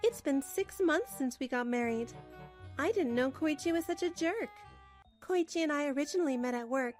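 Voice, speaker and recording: A woman's voice: sits high, expressive, moderate pace, a little mellow, soft background music. An actress playing a weary, put-upon housewife who tells her own story in a manga dub, plaintive and apologetic. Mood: resigned narration. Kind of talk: first-person scripted story narration, manga dub.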